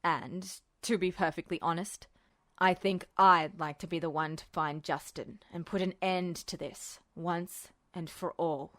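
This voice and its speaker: A woman voicing a male military officer, lowish pitch, measured, coaxing, smirking confidence, audiobook dialogue. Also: steady tone, a bit bright. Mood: determined. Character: smirking, self-assured adult male army colonel. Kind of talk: fan fiction audio reading, character dialogue.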